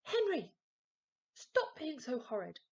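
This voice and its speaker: A woman's voice: high-pitched, wildly swooping pitch, slow pace, darkish tone, lo-fi sound, pitched above the performer's own voice, full character voice. A woman voicing an emphatic, singsong mother, doting but easily exasperated, in a children's storybook.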